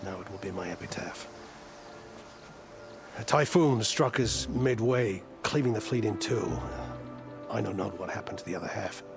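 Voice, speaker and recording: Gravelly, weary older admiral. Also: male voice, lowish voice, moderate pace. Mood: bitter, weary. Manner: formal, grave, wry. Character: weary, proud older male admiral of a stranded fleet. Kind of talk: cinematic video game acting. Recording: music behind the voice.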